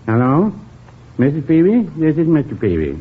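An actor playing a mild, evasive, henpecked older shopkeeper, hesitant and apologetic, in 1950s radio comedy style. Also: male voice, a little high, huge pitch swings, moderate pace, narrow, tinny audio. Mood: feigned regret. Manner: hesitant, mealy-mouthed, apologetic. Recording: thin, hissy old radio broadcast.

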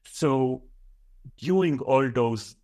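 A man's voice: mid-range voice, extremely slow, darkish tone. A man with an Israeli accent, a professor talking through his research in a thoughtful, explanatory way with frequent hesitations. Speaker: guest author, professor. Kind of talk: academic interview.

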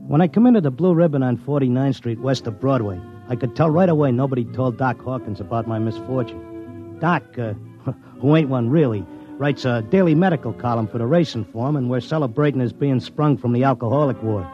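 A man's voice: mid-range voice, brisk, close to an everyday voice. Man acting slangy streetwise New York racetrack tipster. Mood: wry storytelling. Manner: slangy, colloquial tough-guy talk. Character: streetwise Broadway racetrack handicapper, lovesick and stubbornly determined. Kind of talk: 1950s radio drama acting, first-person narration and dialogue. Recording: dull vintage radio recording, music behind.

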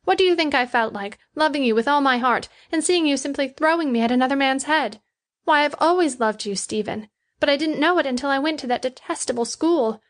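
Lady doing a spirited lovestruck young girl for an audiobook, high voice, stubborn and emphatic. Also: a little fast, lightly acted. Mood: reproachful, heartfelt. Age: young adult. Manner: emphatic, earnest. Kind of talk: audiobook character dialogue.

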